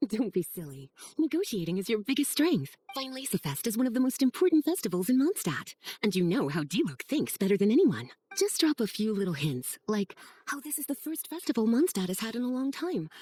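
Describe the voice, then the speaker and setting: A woman playing a sly, coaxing, motherly teacher in a video game, with a breathy voice and teasing manner. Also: fairly high pitch, a little mellow. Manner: coaxing, teasing, unhurried persuasion. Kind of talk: video game character acting.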